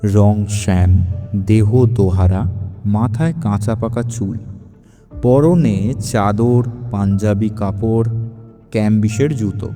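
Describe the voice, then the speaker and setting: A man narrating a scripted mystery story in a measured, dramatic storytelling style. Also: fairly low pitch, warm tone. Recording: long reverb over faint music. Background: faint music.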